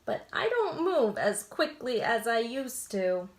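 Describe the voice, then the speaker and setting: A woman voicing a slow, terse old male turtle in a children's storybook. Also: on the high side, big pitch swings, slight background noise. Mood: dry. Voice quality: terse, deliberate. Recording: roomy echo.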